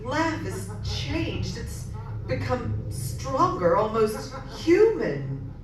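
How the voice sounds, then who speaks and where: A woman acting a high, frightened, pleading daughter. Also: sing-song, drawn-out words, heavily acted. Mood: dread. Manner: theatrical, dread-filled. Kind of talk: old-time radio drama acting. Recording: very echoey room, distant, background noise.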